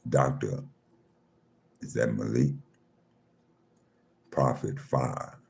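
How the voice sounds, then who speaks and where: Super deep gravelly guy running a live chat room, slow and calm, welcoming folks by name. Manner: slow, calm, repeats himself, reads names off a screen. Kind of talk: casual livestream chat, greeting listeners.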